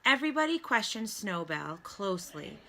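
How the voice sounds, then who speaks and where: A woman reading a children's storybook aloud like a teacher, with deliberate, instructive delivery. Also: mid-range voice, very animated, vocal fry, sharp tone. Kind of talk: read-aloud storybook narration with lesson prompts.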